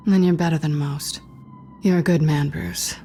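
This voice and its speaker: A sly cat burglar lady for a video game, lowish voice, dry teasing wit, guarded, noisy background. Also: steady tone, moderate pace, warm tone. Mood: cynical, intimate. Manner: teasing, sardonic, intimate and low-key. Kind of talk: naturalistic video game acting.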